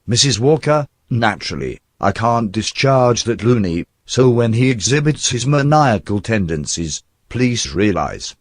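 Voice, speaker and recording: A man's voice: mid-range voice, sing-song, unhurried, few pauses, no echo, studio quality. A man playing a pompous, nervous and irritable personnel examiner in a radio drama. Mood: indignant. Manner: officious, irritable, brusque.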